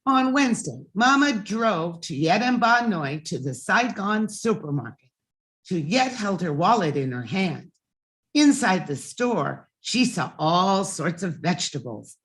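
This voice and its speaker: A woman reads a children's story aloud at bedtime. Her voice sits a little low and swings widely in pitch. She takes her time, leaving deliberate pauses and leaning on key words. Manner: expressive, emphatic reading with deliberate pauses.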